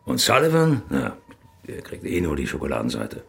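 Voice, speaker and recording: An actor playing a shady, cynical crony with a sly, blunt, casual way of talking in a radio crime drama. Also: male voice, huge pitch swings, moderate pace, fairly bright tone, full character voice. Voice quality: very low, gravelly, husky. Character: shady, cynical, familiar crony who offers drugs.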